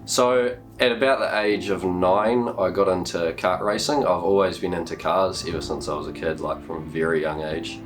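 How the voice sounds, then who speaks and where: Deep-voiced eighteen-year-old New man. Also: fairly even intonation, normal speed, hardly any pauses. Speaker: drift driver interviewee. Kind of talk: documentary interview. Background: guitar music.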